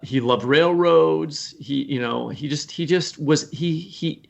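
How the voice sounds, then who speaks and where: A man's voice: a little high, takes it slowly, gravelly. A man speaking as a podcast guest, a writing teacher telling anecdotes in an informal, self-deprecating, salty way. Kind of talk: casual interview chat, anecdotal.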